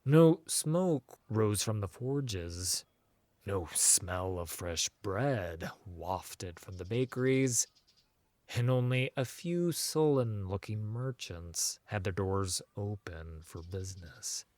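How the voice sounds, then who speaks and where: A man reads a fantasy audiobook as its narrator. His voice is fairly low and his pace is slow, giving the scripted prose a measured, dramatic delivery. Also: lively intonation, a little mellow, no echo.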